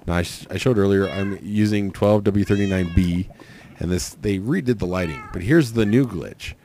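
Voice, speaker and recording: A man with a cold, hoarse and raspy, rambling through casual gameplay commentary with lots of fillers. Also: low voice, normal speed, a little mellow, slight background noise. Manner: rambling, thinking aloud with many fillers. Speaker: let's play host.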